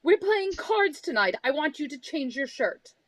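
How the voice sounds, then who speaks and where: A woman's voice: high voice, very animated, moderate pace, no echo. A woman playing a domineering scornful wife. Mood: bossy. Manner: cold, cutting, condescending. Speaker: radio drama actor. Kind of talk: live reading of an old-time radio script.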